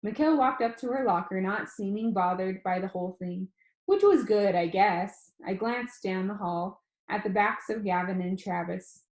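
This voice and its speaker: A woman's voice: medium pitch, normal speed, darkish tone. Echoey-room woman reading a story aloud. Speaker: reader reading a novel aloud. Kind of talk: expressive storybook narration, acting out dialogue. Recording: roomy, echoing room sound.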